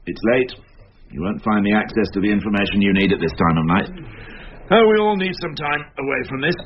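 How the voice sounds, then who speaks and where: A man acting a senior police inspector in a radio crime drama. His delivery swings widely in pitch, dry and opinionated with an irritable edge of authority. The recording is dull and a bit noisy. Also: expressive, brisk, natural voice. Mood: brisk, commanding.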